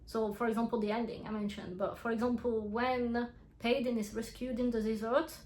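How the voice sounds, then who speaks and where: A woman's voice: on the high side, level tone, normal speed, a little mellow, slight background noise, low-quality recording. A young woman with a French accent, a native French speaker, reviewing books in a casual, frank vlog, laughing often and restarting her sentences. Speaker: book reviewer.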